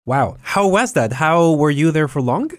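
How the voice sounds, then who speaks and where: A man hosting a podcast interview, asking questions with enthusiasm and quick to laugh and react. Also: a little high, expressive, no room sound, studio quality. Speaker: podcast host.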